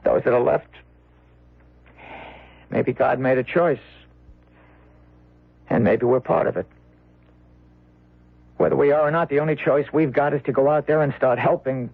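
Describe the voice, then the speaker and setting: An actor playing a tender, earnest husband and weary survivor, soothing in a radio drama style. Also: male voice, medium pitch, vocal fry, no echo. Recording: dry, tinny old radio recording.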